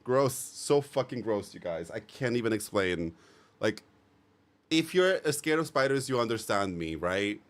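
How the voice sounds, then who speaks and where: Male streamer, lowish pitch, loose animated chat with his viewers, cursing and laughing freely. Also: measured pace. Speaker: live streamer. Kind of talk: casual chat with live viewers.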